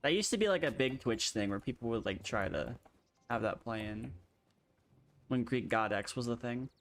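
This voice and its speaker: A man's voice: mid-range voice, expressive, normal speed, faint music behind. A man with a slight American twang who hosts a game stream and talks in a loose, rambling way full of fillers and restarts. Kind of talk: casual gaming chat.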